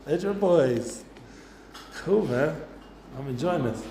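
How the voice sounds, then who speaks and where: A man's voice: fairly high pitch, lively intonation, slow pace, mellow, slight background noise. A man, talking casually to a youth group with lots of fillers and asides. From North London, England. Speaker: guest speaker for students. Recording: roomy echoey room.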